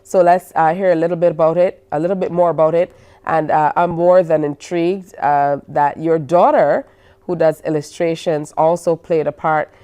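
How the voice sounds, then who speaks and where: A woman's voice: sits low, steady tone, moderate pace, warm tone, clean tone. A woman hosting a morning TV show, asking a guest chatty questions with plenty of filler words. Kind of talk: interviewing a guest.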